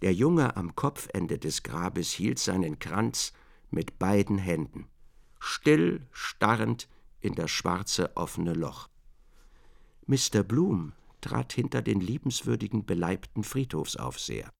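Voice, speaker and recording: A sober literary narrator for a radio drama. His voice is fairly low with a heavy gravel edge. He takes each phrase very slowly and deliberately. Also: very animated, full character voice. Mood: measured, sober. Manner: deliberate, measured.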